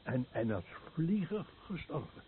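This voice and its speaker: An actor playing an exhausted, fearful survivor, a fisherman, speaking haltingly and repeating words in a radio play. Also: fairly high pitch, big pitch swings, husky, heavily acted. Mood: haunted. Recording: muffled, noisy old radio play recording.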